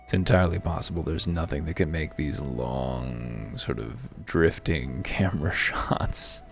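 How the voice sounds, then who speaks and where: A man's voice: a bass voice, a little slow, vocal fry. A man hosting a game playthrough with casual, dry, ironic commentary over music. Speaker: host of a voiced game playthrough. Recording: music behind the voice.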